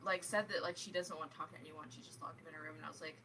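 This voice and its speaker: A woman's voice: low voice, steady tone, rapid-fire, pushed and bright. A woman chatting casually in a group book discussion, speaking in loose, hesitant phrases full of "like". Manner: hesitant, filler-heavy phrasing with frequent "like". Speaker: book club participant. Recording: distant mic, faint background noise.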